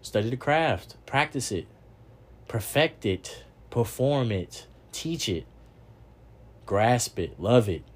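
A young man hosting a podcast, giving a preachy motivational monologue, repeating himself and swearing casually. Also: on the low side. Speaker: podcast host.

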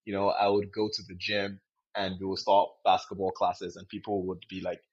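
A young man from Nigeria with a fairly low, raspy-edged voice co-hosts a casual discussion. He tends to restate his phrases as he thinks them through. The recording is a little dull and lo-fi. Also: completely flat delivery, normal speed. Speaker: podcast co-host. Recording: dull, lo-fi sound.

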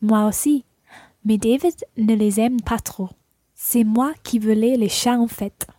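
A chatty woman voiced by an actress talks among friends in a scripted learners' radio play. She has a fairly high, warm-toned voice, captured very dry. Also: unhurried, no echo. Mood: friendly. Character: chatty woman, cat lover, talking with friends.

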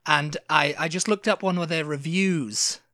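Chatty man, bright forceful voice. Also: fairly high pitch, moderate pace, dry recording, studio quality. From Wales. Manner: chatty, rambling, self-interrupting. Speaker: podcast co-host. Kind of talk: casual chat. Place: living in Texas.

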